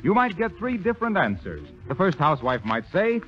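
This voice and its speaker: A man's voice: fairly high pitch, wildly swooping pitch, a little fast, soft background music. A man announcing a 1930s radio program, reading scripted ads and introductions. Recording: muffled 1930s radio broadcast.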